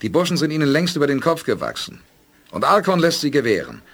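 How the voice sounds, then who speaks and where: An actor playing a self-assured male spaceship commander in a 1980s audio drama, brisk and authoritative. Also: a little high, huge pitch swings, gravelly, a bit bright, exaggerated voice. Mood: pointed, challenging.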